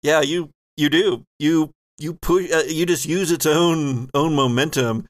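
A man's voice: on the high side, fairly even intonation, unhurried, no echo. Man game master, dry close sound. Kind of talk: improvised narration of a tabletop role-playing game.

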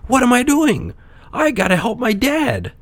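A man's voice: very high-pitched, huge pitch swings, unhurried, no room sound, pitched above the performer's own voice, full character voice. A man voicing a brave, impulsive young puppet boy in a children's storybook, with hesitant, eager delivery. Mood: urgent.

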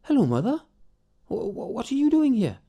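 A man's voice: extremely high pitch, wildly swooping pitch, moderate pace, warm tone, pitched above the performer's own voice, full character voice. A man voicing a simple, kind young village man who stammers eagerly. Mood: flustered.

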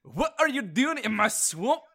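A man doing a clumsy, high-pitched mock-Scottish impression of a grumpy male ogre. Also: big pitch swings, a little slow, vocal fry, a crowd in the background, exaggerated voice. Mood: grumbling.

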